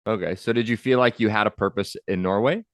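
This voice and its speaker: A man's voice: on the low side, quick pace, no room sound, clean studio sound. Upbeat male podcast host. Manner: upbeat, energetic and encouraging. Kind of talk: podcast intro and interview.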